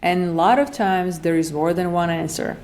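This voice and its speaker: A woman's voice: fairly low pitch, normal speed, darkish tone, slight background noise. A woman of forty-nine with a Slovak accent, explaining earnestly as an interview guest and repeating phrases for emphasis. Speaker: functional medicine practitioner, podcast guest.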